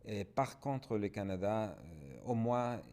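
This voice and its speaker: Un homme d'origine mexicaine, avec un espagnol mexicain, répond en studio en tant que consultant et répète souvent ses mots. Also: male voice, on the low side, big pitch swings, unhurried, mellow. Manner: repeats and restarts words. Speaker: international development consultant. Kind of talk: panel guest answering.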